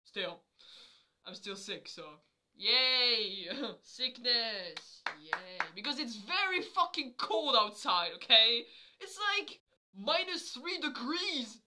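A young woman with a cold talks straight into her webcam from a small bedroom. Her voice is bright and forceful, and she rambles loosely, filling gaps with "like" and laughing often. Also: on the high side, sing-song, takes it slowly. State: has a cold. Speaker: vlogger. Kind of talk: casual chat to camera. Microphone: webcam at desk.

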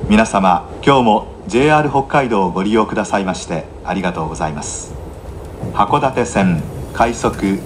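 Low male train announcer, polite n measured, clear hum of the noisy cabin behind him. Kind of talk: scripted onboard announcement, formal and polite. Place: inside a train, over the announcement system.

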